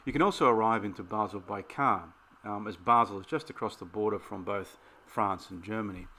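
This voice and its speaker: A man's voice: on the low side, fairly quick, pushed and bright. A middle-aged Australian man hosting a travel vlog, casually giving practical tips.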